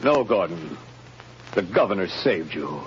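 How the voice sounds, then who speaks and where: A man's voice: sits low, big pitch swings, unhurried. An actor playing an earnest male prison warden who presses with urgent questions in a 1930s radio drama. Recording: dull old radio recording with hiss.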